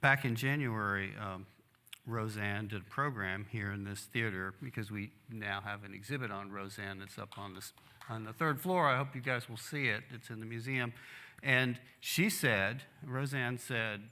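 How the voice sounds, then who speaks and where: A man's voice: fairly low pitch, moderate pace, bright, forceful tone. A man hosting a live talk as moderator, speaking conversationally to guests and audience. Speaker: moderator and host. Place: museum theater, on stage.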